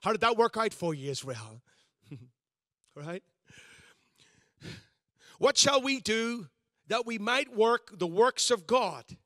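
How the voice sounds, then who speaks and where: A man's voice: high-pitched, very animated, slow pace, long pauses, a bit bright, no echo, clean studio sound. A man, a pastor giving a conversational, self-deprecating sermon with frequent restarts. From Northern Ireland.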